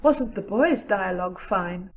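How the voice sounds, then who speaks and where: A woman's voice: medium pitch, animated, unhurried, a little hiss or hum, lightly acted. A woman playing a practical, chatty, loyal teenage girl with a matter-of-fact, gossipy manner in an audio drama. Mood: admiring, chatty.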